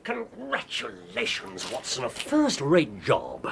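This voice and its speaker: An actor with a British accent playing a shrewd, self-assured gentleman detective in a radio drama, brisk and precise. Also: on the high side, wildly swooping pitch, vocal fry, a little hiss or hum, full character voice. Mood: self-satisfied.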